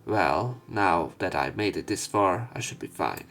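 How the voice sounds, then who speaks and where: Low-voiced man reading a visual novel aloud. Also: expressive, unhurried, a bit bright. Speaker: let's play narrator.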